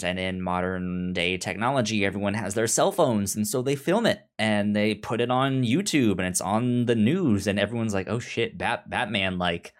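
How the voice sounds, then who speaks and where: A man co-hosting a podcast, explaining things in a halting, informal, chatty way. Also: fairly low pitch. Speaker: podcast co-host discussing comics. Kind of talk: casual chat.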